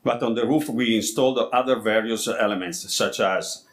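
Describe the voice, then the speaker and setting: Low-voiced man presenting in non-native English. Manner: halting, stumbles over words and repeats them. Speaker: architect presenting a project. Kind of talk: formal scripted conference presentation.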